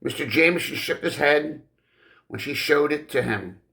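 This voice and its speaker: A man reading literary fiction as an audiobook narrator, with deliberate, dramatic phrasing and frequent pauses. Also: a little high, creaky, pushed and bright. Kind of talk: expressive scripted literary narration.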